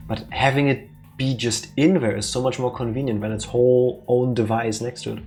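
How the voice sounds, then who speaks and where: A let's-play co-host speaking in a middle-pitched voice over faint game music. Reads on-screen text aloud in a dry, joking way and chats casually between puzzles. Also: fairly even intonation, brisk, darkish tone. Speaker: co-host playing a video game.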